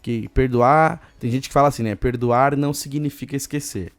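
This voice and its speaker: A man with a Brazilian accent hosting a casual solo podcast, hoarse and chatty, giving listeners shout-outs. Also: medium pitch, steady tone, moderate pace, dry recording, soft background music. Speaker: podcast host.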